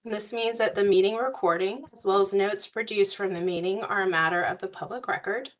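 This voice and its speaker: Hoarse woman facilitating a meeting. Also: medium pitch, steady tone, fairly quick. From US South. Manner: friendly, procedural, uses y'all. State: hoarse from a cold. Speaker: meeting facilitator, policy advisor. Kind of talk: online work group meeting. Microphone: video call.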